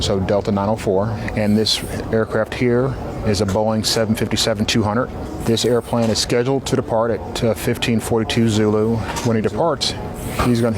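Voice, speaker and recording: Flat-toned man over clear background noise. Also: fairly low pitch. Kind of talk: on-site demonstration.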